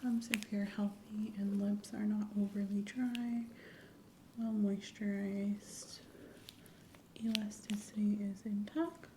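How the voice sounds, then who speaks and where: A soft-spoken woman in an ASMR medical roleplay, gently and calmly playing an examiner, often dropping into a whisper. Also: medium pitch. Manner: gentle, reassuring, unhurried clinical narration. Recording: roomy echo, faint ambient noise.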